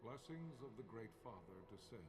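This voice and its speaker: A man playing a solemn priest in a fantasy game, intoning a ceremonial blessing slowly and reverently. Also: mid-range voice, animated, close to an everyday voice. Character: solemn male priest giving a funeral blessing. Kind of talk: ceremonial scripted game cutscene. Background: loud orchestral music.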